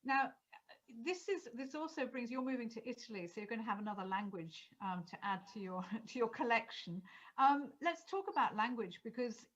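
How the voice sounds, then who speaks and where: A woman interviewer with a fairly high voice asks long, wandering questions full of "um" and "you know". The sound is somewhat dull and lo-fi. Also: lively intonation, fairly quick, a bit bright. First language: English. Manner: rambling, hesitant questions with many fillers. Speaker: interviewer host. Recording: dull lo-fi audio.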